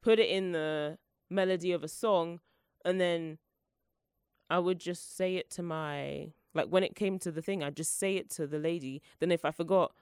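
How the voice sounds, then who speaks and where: A woman's voice: fairly low pitch, fairly even intonation, normal speed, a little mellow, smooth, no echo, clean studio sound. A young woman hosting her own solo podcast, chatting in a rambling, playful and self-mocking way, her voice congested from the flu. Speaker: podcast host.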